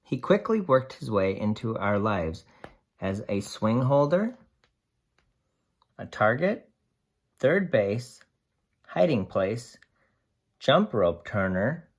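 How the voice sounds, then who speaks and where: A picture-book reader of ambiguous gender with a mid-pitched voice and very lively intonation. The delivery is slow and deliberate, made for young listeners, with simple explanations set between the lines of the story. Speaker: read-aloud storyteller. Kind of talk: expressive picture-book read-aloud with asides to young children.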